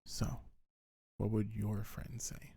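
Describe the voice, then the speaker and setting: Deep, breathy, half-whispering ASMR man. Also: level tone, no echo, studio quality. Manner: gentle, soothing, unhurried. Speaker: ASMR creator. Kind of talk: ASMR comfort affirmations. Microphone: close microphone, dry.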